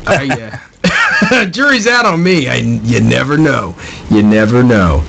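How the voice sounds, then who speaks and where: A man's voice: mid-range voice, very animated, unhurried, vocal fry, a bit bright, slight background noise. A man hosting a casual paranormal radio show, joking and laughing as he rambles through banter with his co-host. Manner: jokey, laughs often, rambling.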